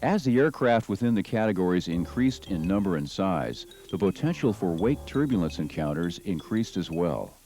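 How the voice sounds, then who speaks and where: A middle-aged American man narrating a training film in a measured, formal, instructional manner. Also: low-pitched, very animated, dark tone. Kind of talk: scripted documentary narration. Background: music under the narration.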